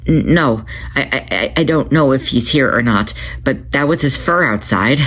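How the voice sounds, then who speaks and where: A man's voice: medium pitch, animated, normal speed, fairly bright tone. A man voicing an anxious young male cat in a storybook reading, stammering and hesitant. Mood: shaken.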